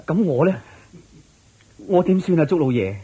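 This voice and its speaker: A man's voice: a little high, big pitch swings, moderate pace, mellow. A man with a Hong Kong Cantonese accent playing a poor, humble musician in a radio drama, meek and pleading. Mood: despairing.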